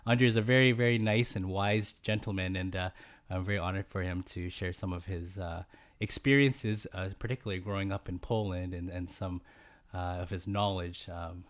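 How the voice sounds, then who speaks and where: A man's voice: sits low, steady tone, moderate pace, hardly any pauses. A man hosting a podcast, introducing and interviewing a guest with polite, hesitant phrasing full of fillers. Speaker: podcast host.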